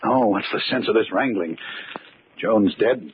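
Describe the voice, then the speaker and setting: An actor playing an accused amateur magician, theatrical, sardonic and urgent, in a 1940s radio mystery. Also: male voice, fairly low pitch, wildly swooping pitch, measured pace, gravelly, full character voice. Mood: defensive, urgent. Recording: tinny, noisy old radio recording.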